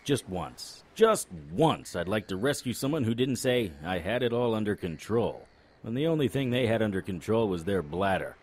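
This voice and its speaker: An actor playing a cocky, unflappable supernatural private investigator in an audio drama, full of wry, flippant banter. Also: male voice, on the low side, expressive, normal speed, slightly rough. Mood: wry, dry.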